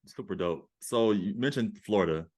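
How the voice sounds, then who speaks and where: A man's voice: fairly low pitch, moderate pace. A man hosting a podcast, talking in a casual, hyped-up way with lots of slang. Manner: hype, slangy, animated. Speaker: podcast host. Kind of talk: casual interview.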